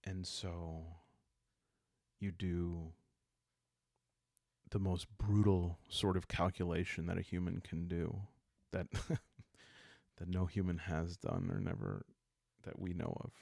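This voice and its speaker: Slow, deep-voiced man in calm ASMR narration. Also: vocal fry, no echo, clean studio sound. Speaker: host and solo roleplayer. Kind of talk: calm ASMR narration of a dark journaling game.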